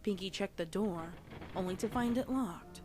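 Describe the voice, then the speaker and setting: A woman's voice: mid-range voice, normal speed, a little mellow. Woman narrating a dramatic story over music. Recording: music behind the voice.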